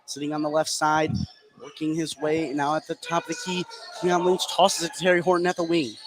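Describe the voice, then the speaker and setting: A man doing live play-by-play basketball commentary, his delivery rising with excitement on big plays. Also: on the high side, fairly quick. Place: indoor basketball gym at a tournament. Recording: noisy gym behind live broadcast.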